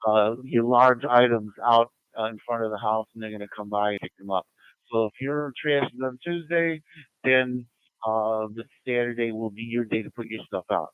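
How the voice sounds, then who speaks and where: A man acting as net control on a community radio net, giving informal announcements and roll calls in a halting, procedural way. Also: mid-range voice, clear, resonant tone, no room sound, poor audio.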